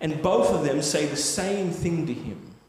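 Earnest preacher in an echoey hall. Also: male voice, a little high, expressive, a little slow, darkish tone, slight background noise. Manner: earnest, conversational with tag questions. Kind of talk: sermon storytelling. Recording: echoey hall with long reverb.

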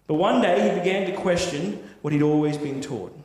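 A man's voice: on the high side, normal speed, a little mellow. A man preaching a sermon, telling a story in an earnest, conversational way with frequent tag questions. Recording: echoey hall with long reverb.

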